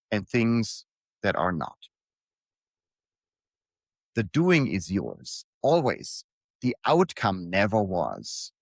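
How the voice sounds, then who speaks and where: A man reading his own reflective motivational piece aloud in deliberate short phrases, leaning on repetition for emphasis. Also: lowish voice, dry recording, clean studio sound.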